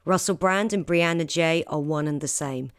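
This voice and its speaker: A cynical, suspicious woman played by a voice actress reads out her online opinions in a pointed way. Her voice is on the low side. The recording is dry and close. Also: moderate pace, clean tone, no room sound, close to an everyday voice. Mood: cynical, dismissive. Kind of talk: dramatic reading of social media posts.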